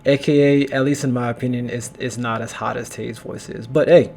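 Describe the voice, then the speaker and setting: A man's voice: mid-range voice, fairly even intonation, fairly quick, gravelly, a little mellow, slight background noise. Chatty man hosting a reaction video. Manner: chatty, repeats himself, filler-heavy. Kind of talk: casual reaction commentary.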